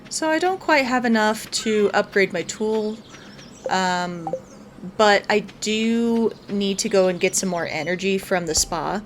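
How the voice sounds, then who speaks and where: A woman's voice: mid-range voice, expressive, measured pace. A woman hosting a casual let's play, explaining her plans step by step as she goes. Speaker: gaming video host. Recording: music playing behind. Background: game music.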